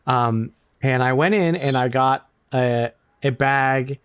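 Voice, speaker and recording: A man's voice: mid-range voice, takes it slowly, clear, resonant tone, dry recording, clean studio sound. Enthusiastic male podcast co-host. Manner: enthusiastic, laughs often, repeats words. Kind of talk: casual chat.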